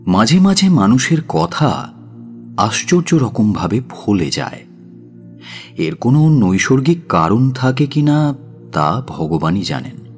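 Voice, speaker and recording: A man narrating a scripted audio story as its presenter, with a measured, theatrical delivery. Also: medium pitch, very animated, darkish tone. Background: music.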